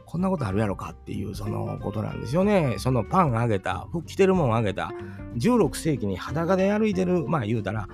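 Middle-aged kansai guy rambling about a fairy tale, gravelly voice that swings up and down, music behind. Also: mid-range voice, sing-song, a little fast. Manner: musing, chatty, rhetorical asides to listeners. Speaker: storytelling channel host. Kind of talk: casual spoken commentary on a fairy tale.

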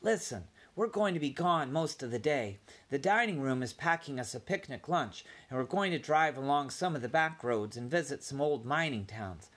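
A man voicing a friendly, kind young boy who speaks in a hushed, friendly way. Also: medium pitch, lively intonation, normal speed, hardly any pauses.

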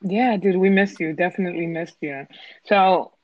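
A woman's voice: fairly low pitch, moderate pace, dry recording, highs cut off. A woman in her twenties co-hosting a casual student chat, playful and giggly, often saying dude. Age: 20s. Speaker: podcast co-host.

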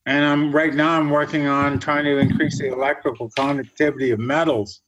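A man's voice: fairly high pitch, flat delivery, a little fast, noisy background. Older German-born man, dull lo-fi sound. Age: 60s. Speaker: guest scientist. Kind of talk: podcast interview.

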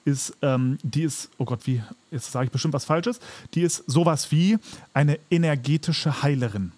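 A man's voice: on the high side, normal speed, darkish tone, no echo. A man co-hosting a podcast in casual conversation, skeptical and argumentative, restarting his phrases as he reasons. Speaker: podcast co-host.

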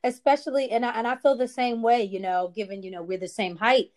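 A woman's voice: on the high side, fairly even intonation, a little fast, a little mellow. A woman co-hosting a casual chat, making her points emphatically and drawing responses in a preachy call-and-response way.

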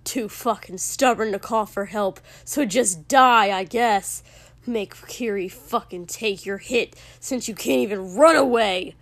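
A woman voicing a furious young man in an audiobook, sharp and gravelly, barking scornful, foul-mouthed tirades. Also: mid-range voice, a little slow, no echo. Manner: barking, swearing, dismissive.

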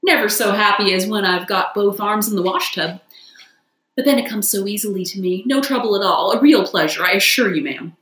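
A woman's voice: medium pitch, animated, brisk, a bit bright, reverberant, lightly acted. A woman voicing a boastful male toad who poses as a humble washerwoman, chatty, wheedling and self-important, for a storybook. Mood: airy boasting.

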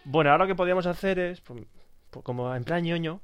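A Spanish man co-hosting a podcast, speaking at an ordinary, mid-range pitch. He teases his friends with dry, sarcastic jokes and slips into thanking the listeners. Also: fast talker, smooth, soft background music. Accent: Spanish from Spain. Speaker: podcast co-host. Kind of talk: casual chat.

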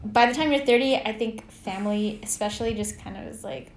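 A woman's voice: fairly high pitch, brisk, echoey room, a little hiss or hum. A woman in her thirties chatting on a podcast, thinking things through aloud. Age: 30s. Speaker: podcast co-host. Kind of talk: casual chat.

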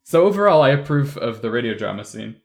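A man's voice: mid-range voice, big pitch swings, fast. A man chatting informally and bantering with friends as a podcast host, in a casual review discussion. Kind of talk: casual group review chat.

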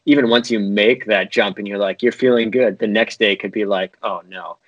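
A man's voice: fairly low pitch, steady tone, normal speed, dry recording, muffled recording. A young man from North America, a video editor, talking casually and a bit ramblingly as an interview guest.

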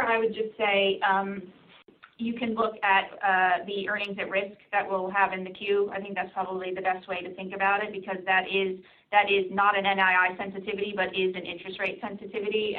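A woman with a medium-pitched, very even voice answers financial questions as an executive. She is careful and matter-of-fact. The audio is narrow, like a telephone conference call. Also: brisk. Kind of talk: unscripted earnings call answers. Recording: thin phone conference line.

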